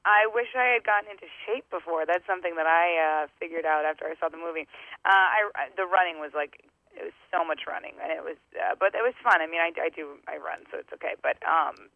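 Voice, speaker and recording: Raspy woman on a tinny line. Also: on the low side, quick pace, no room sound. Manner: rambling, self-interrupting, laughs often. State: voice strained from screaming. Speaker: actress and singer. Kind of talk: casual interview chat.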